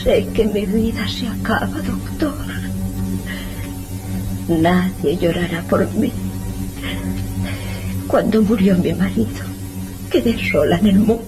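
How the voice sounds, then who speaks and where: A woman playing a frail, dying widowed mother, pleading slowly and tearfully in old-style radio serial melodrama. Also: medium pitch, over music, close to an everyday voice, poor audio. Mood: mournful. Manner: slow, anguished, tearful pleading.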